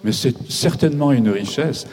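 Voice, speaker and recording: A man whose first language is Japanese, a novelist speaking on a panel in a halting, reflective way with many pauses. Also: medium pitch, a little mellow. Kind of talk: panel discussion.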